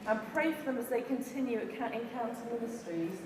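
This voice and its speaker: A woman's voice: on the high side, lively intonation, fairly quick. A woman hosting a church service, giving thanks and announcements to a congregation in a large hall. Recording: very echoey hall, clear background noise.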